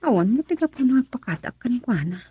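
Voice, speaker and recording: Concerned female friend, very husky breathy voice, Ilocano Filipino accent, radio drama, dry close sound. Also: a little high, expressive, fast, dark tone, dry recording, muffled recording.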